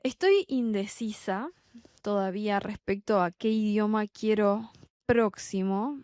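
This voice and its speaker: A young adult woman from Argentina with a Buenos Aires accent, a Spanish tutor talking slowly and deliberately in a podcast for learners. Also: medium pitch, darkish tone, dry recording, clean studio sound. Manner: slow, deliberate, clearly spaced phrasing. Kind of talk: slow podcast monologue for learners.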